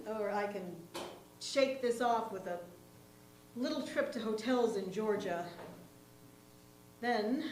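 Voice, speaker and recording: A woman performing a theatrical monologue as an embattled, unhinged farm wife, confiding her ruin with drawn-out emphasis. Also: a little high, a little mellow. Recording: echoey room with faint humming noise.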